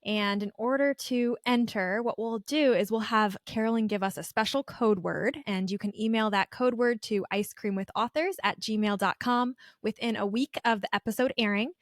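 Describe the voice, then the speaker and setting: A woman's voice: mid-range voice, normal speed, hardly any pauses, no room sound, studio quality. A woman hosting a podcast, interviewing a guest and making announcements in a conversational way. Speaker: podcast host.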